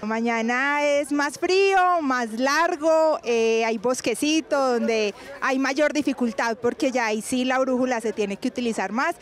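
A woman's voice: high voice, measured pace, hardly any pauses. A woman with an Antioquian Colombian accent, speaking upbeat and proud in an outdoor interview on a handheld mic. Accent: Antioquia Colombian Spanish. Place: outdoor sports field.

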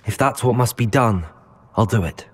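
An actor playing an earnest, resolute young wizard student in a video game, speaking plainly and with composure. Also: male voice, medium pitch, moderate pace, natural voice. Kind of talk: scripted video game cutscene acting. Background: music.

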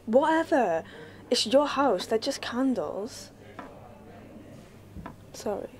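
A woman's voice: fairly high pitch, animated, takes it slowly, a little hiss or hum. A young woman playing a frustrated grown-up daughter who argues for her independence in radio-play drama. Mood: irritated. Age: young adult. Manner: exasperated, argumentative. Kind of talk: low-key dramatic radio-play dialogue.

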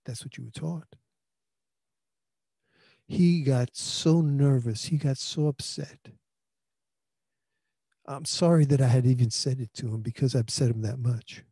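New pastor preaching into handheld mic. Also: male voice, medium pitch, measured pace, vocal fry, pauses often, mellow, husky, no echo. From New York. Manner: earnest conversational preaching with frequent restarts. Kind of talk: sermon. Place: small indoor room. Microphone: handheld microphone.

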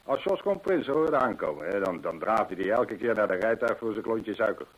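An actor playing a talkative, anxious horse trainer in a Dutch radio drama, with a husky, gossipy way of telling things. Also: male voice, fairly low pitch, lively intonation, moderate pace, highs cut off. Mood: worried, confiding. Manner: gossipy, emphatic storytelling.

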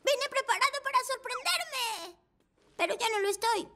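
Cheeky little cartoon motorbike, squeaky, sharp, lively. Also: moderate pace, exaggerated voice. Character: small excitable cartoon motorcycle pal, playful and cheeky. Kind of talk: animated children's cartoon dub.

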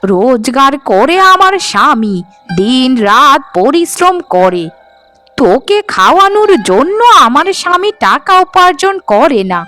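A woman's voice: high voice, pushed and bright, heavily acted. A woman voicing a cruel, scheming stepmother in a cartoon, with harsh, scornful scolding. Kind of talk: melodramatic Bengali cartoon dialogue.

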